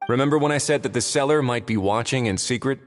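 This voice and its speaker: An actor playing a calm, analytical young man in a video game, speaking in a measured, articulate, matter-of-fact way. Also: mid-range voice, long reverb tail, faint music behind, close to an everyday voice. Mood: calm, businesslike.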